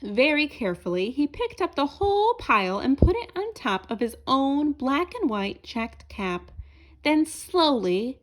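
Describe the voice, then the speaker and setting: A woman reading a children's story aloud in an expressive narrating style, as if to young listeners. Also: high voice, unhurried, low-quality recording. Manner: asks listeners questions as she reads. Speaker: storybook reader.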